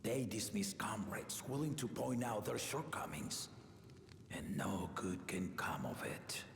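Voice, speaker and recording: An actor playing a noble aging warrior king and proud father in a video game, gravelly, with stately, formal, measured speech. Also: fairly low pitch, expressive, noisy background. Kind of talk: scripted video game acting. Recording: echoing, music behind.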